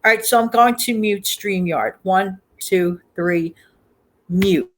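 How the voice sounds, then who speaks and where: A woman's voice: mid-range voice, slow, fairly bright tone, poor audio. A woman hosting a livestream in casual chat, repeating words for emphasis. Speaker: host of a livestream.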